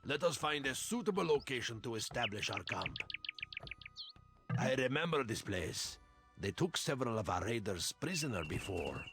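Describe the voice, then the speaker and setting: A man's voice: medium pitch, very animated, moderate pace, creaky. A man playing a ruthless, commanding Hun raider in a strategy game. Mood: resolute. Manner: menacing, commanding. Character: ruthless male Hun warrior plotting raids. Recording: loud music over the voice. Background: loud music.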